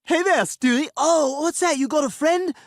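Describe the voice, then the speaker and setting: A man's voice: very high-pitched, normal speed, dry recording, studio quality. Sweet, simple baby boy. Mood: cheerful, eager. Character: sweet, simple-minded, eager-to-please baby boy clone. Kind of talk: animated comedy acting.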